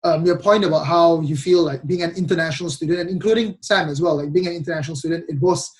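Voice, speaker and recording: A man's voice: on the high side, fast. A young man from Malaysia hosting a casual podcast chat, speaking hesitantly with many restarts and ums. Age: young adult. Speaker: podcast host.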